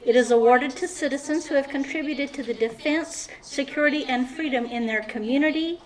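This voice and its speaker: A high-voiced woman reads out an award's meaning in a measured, deliberate way, giving each listed word its own weight. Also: poor audio. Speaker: committee chair reading the award description. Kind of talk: formal reading.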